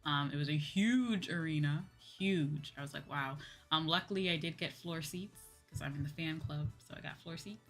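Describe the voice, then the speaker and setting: A woman's voice: low voice, moderate pace, fairly bright tone. A woman hosting a livestream as a VTuber, talking casually in a chatty, giggly way. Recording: background music behind voice. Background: music.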